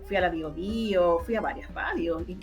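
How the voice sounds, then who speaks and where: A woman's voice: lowish voice, moderate pace, soft background music. A woman with a Chilean Spanish accent recounting her memories as an interview guest in casual talk, repeating words for emphasis. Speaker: interview guest, radio drama producer. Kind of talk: casual conversational recollection.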